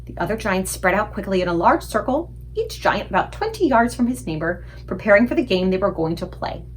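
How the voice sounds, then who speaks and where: A woman's voice: medium pitch, big pitch swings, fairly quick, slight background noise. Woman narrating a children's story aloud. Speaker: read-aloud book reader.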